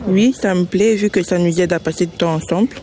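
A woman in her twenties from Congo, speaking softly and reflectively with hesitations. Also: on the low side, fast. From Democratic Republic of the Congo. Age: 20s. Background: crowd chatter.

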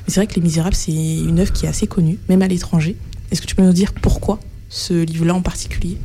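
A woman's voice: fairly low pitch, fairly even intonation, fast, dark tone, slight background noise. A woman interviewing a guest, rephrasing his answers back to him and leaning on casual fillers. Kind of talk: conversational interview.

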